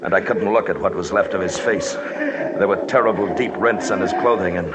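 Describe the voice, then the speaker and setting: An actor playing a haunted, determined expedition survivor in an old radio suspense drama, speaking in short, tense phrases with dramatic pauses. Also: male voice, low voice, close to an everyday voice. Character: male mountain expedition survivor, haunted, stubbornly determined narrator. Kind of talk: old-time radio drama, first-person suspense narration. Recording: noisy old radio recording.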